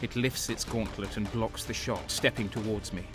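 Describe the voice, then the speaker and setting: An actor playing a grim, battle-worn traitor soldier, narrating in terse, intense first person for an audio drama. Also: male voice, on the low side, steady tone, normal speed, over music, close to an everyday voice. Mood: pained, tense.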